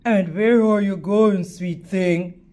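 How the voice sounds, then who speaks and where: A woman's voice: mid-range voice, fairly even intonation, takes it slowly, warm tone, natural voice. A woman voicing a large male storybook wolf, sly and sweet-talking with polite, charming manners. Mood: sly, courteous.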